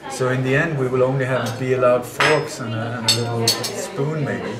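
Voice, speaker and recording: A man with a middle-pitched voice talks earnestly, hammering home points by repeating words. He is recorded in an echoey conference hall. A loud crowd murmurs behind him. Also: fairly even intonation, moderate pace. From Denmark and Sweden. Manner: earnest, repetitive, emphatic. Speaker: interviewee. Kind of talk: conference interview. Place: conference venue indoors. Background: loud crowd chatter.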